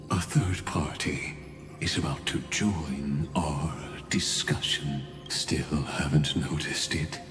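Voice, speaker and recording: An extremely low voice, an ancient, calculating dead genius in a video game. He speaks very slowly and gravely, with endless patience. Music plays clearly behind him. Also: heavily acted. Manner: slow, measured, grave.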